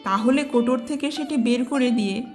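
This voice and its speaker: A woman voicing a clever, quick-witted monkey in a children's story, with an expressive, persuasive delivery. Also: a little high, close to an everyday voice. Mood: coaxing. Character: clever, quick-witted monkey, loyal friend turned mocking. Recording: music behind the voice.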